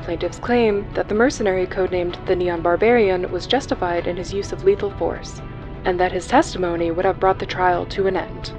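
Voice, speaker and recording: A woman's voice: on the low side, steady tone, a little fast, lightly acted. A woman playing a composed, formal radio news reporter reading a scripted bulletin in an audio drama. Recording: dull radio sound over loud music.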